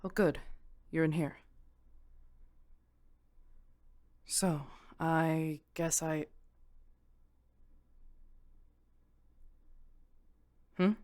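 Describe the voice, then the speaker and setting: A woman's voice: sits low, fairly even intonation, slow pace, darkish tone, no room sound. A woman voicing a guarded, uneasy vampire detective in an audio drama, talking in a careful, hesitant way. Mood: soft, apologetic.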